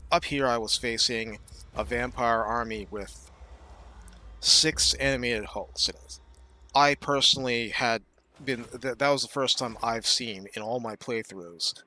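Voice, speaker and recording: A man giving casual gameplay commentary, explaining his strategy thoughtfully and often pausing with fillers. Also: medium pitch, pushed and bright.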